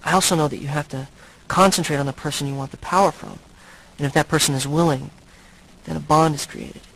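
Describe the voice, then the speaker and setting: An actor playing a brooding, tormented but noble centuries-old vampire in a video game, speaking in a grave, halting, earnest way. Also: male voice, a little high, steady tone, vocal fry, a bit bright, low-quality recording. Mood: earnest, explaining.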